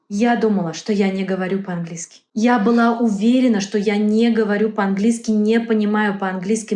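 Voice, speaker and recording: A woman speaking as an interview guest, earnest and halting, often correcting herself mid-sentence. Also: mid-range voice, darkish tone. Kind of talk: casual interview talk. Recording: roomy echo.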